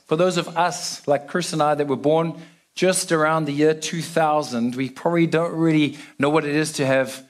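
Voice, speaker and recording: A young South African man giving a casual, joking sermon to a church congregation. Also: on the high side. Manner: casual, self-deprecating, chatty with fillers. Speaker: guest preacher.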